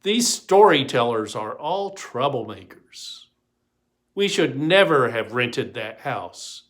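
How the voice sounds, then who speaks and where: A man's voice: mid-range voice, very animated, slow, a bit bright. A man voicing an exasperated, anxious small-town mayor in a storybook reading, with an indignant, hesitant manner.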